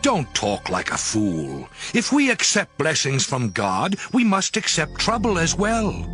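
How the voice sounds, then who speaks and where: A man's voice: on the high side, huge pitch swings, a little slow, fairly bright tone, full character voice. Tormented man of faith: mournful, sweeping intonation over prominent music, dramatic scripture reading. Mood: firm rebuke. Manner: impassioned, mournful lamenting. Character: anguished, devout suffering man crying out to God. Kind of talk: dramatized audio Bible acting.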